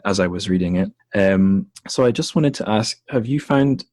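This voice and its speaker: A man with a very low voice hosts a podcast conversation, loose and rambling, often correcting himself mid-sentence. The sound is dry and close, with no room around it. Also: moderate pace, no echo. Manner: relaxed, rambling with fillers and self-corrections. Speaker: podcast host. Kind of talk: casual interview chat.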